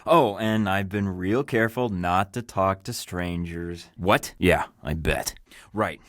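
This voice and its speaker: A man's voice: low voice, slow, a bit bright, no echo. A man voicing a wisecracking young male spy agent, sardonic and tough, in a video game, with dry quips and flashes of menace. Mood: wry. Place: recording studio.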